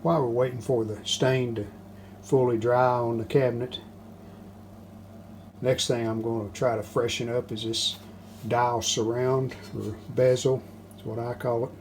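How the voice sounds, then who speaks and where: A man casually narrating a hands-on how-to as he works, plain-spoken, unhurried and modest. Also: medium pitch. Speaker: restoration hobbyist and video host. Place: home basement workshop. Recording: steady background noise, handling rustle.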